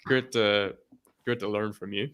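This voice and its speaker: A man's voice: lowish voice, fairly even intonation, measured pace, a bit bright, smooth. A man in his twenties hosting an interview webinar, upbeat and welcoming. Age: 20s. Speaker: webinar host.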